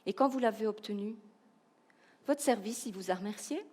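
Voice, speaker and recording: A woman with a Belgian French accent giving a humorous, animated keynote talk, asking the audience rhetorical questions. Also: medium pitch, moderate pace. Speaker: keynote speaker, professional coach.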